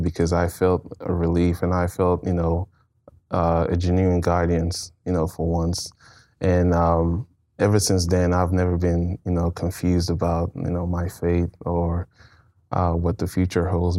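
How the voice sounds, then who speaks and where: A man's voice: low voice, warm tone, no room sound, studio quality. A man, speaking hesitantly and reflectively as an interview guest. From Nigeria. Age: 20s. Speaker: guest, art student. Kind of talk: conversational interview.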